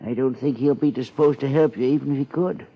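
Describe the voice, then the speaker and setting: An actor playing a halting, uneasy small-town supervisor in 1950s radio drama style. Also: male voice, mid-range voice, breathy edge. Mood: evasive, hesitant. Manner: hesitant, halting. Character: shaken, hesitant male small-town supervisor. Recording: muffled 1950s radio broadcast.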